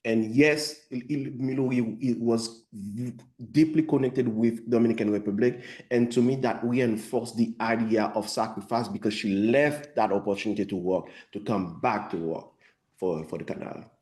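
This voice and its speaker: A man's voice: medium pitch, steady tone, normal speed, a little mellow. A filmmaker from Haiti with a Haitian accent, answering questions thoughtfully on a video call, often restarting his sentences. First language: Haitian Creole or French. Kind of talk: post-screening Q&A over video call.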